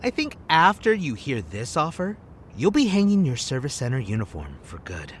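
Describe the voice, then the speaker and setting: An actor playing a cocky, flirtatious, eccentric field agent in an audio drama, smug and theatrical, dropping in bits of Spanish. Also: male voice, mid-range voice, wildly swooping pitch, moderate pace, a little hiss or hum. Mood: cocky, teasing.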